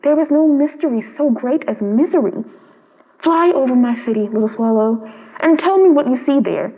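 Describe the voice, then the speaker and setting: A woman's voice: high-pitched, lively intonation, moderate pace, warm tone. A woman voicing a kind, sorrowful prince statue in a storybook, solemn and affectionate as he gives instructions. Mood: solemn, pleading. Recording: long hall-like reverb.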